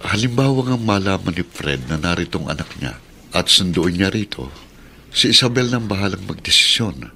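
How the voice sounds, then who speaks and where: An actor playing a calm, reassuring middle-aged husband in a Filipino radio drama, with a firm, reasoning manner. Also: male voice, deep voice, normal speed, slight background noise, exaggerated voice. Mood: calm, assured.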